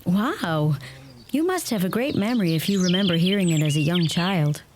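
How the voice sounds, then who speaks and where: Gentle young American woman, acted, birdsong behind. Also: lowish voice, lively intonation, normal speed, background noise, lightly acted. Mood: curious. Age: young adult. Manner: polite, sympathetic, inquisitive. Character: gentle, curious young American woman visiting rural Ireland. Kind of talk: radio play acting.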